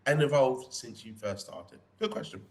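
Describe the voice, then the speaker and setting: A man's voice: medium pitch, expressive, normal speed. A man hosting a casual interview, encouraging his guest with frequent short affirmations and chatty asides. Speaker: podcast interviewer and host. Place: indoors in a pub.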